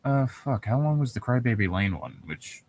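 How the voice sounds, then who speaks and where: A man's voice: mid-range voice, expressive, moderate pace, darkish tone. A man co-hosting a casual reading of internet horror stories, joking and swearing as he goes. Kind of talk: casual banter and reading aloud.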